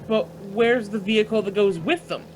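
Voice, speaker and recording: A man's voice: high-pitched, normal speed, slight background noise, lightly acted, low-quality recording. A man acting in a radio play as an easygoing, bold driver with a colloquial, offhand way of talking. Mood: concerned, decisive. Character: easygoing male driver and pilot, bold, folksy.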